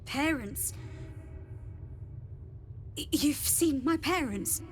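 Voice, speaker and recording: A woman's voice: sits high, animated, extremely slow, a little hiss or hum, full character voice. A woman playing a starving, confused young female vampire captive, speaking in strained fragments in a dramatic video game style. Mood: wistful confusion.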